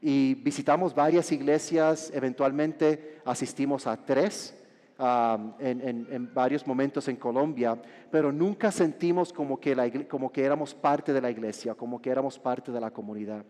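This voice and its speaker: A Puerto Rican man in his forties, a pastor preaching a sermon with joking asides and halting restarts. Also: mid-range voice, fairly even intonation, mellow. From Puerto Rico. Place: large church hall. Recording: reverberant church hall.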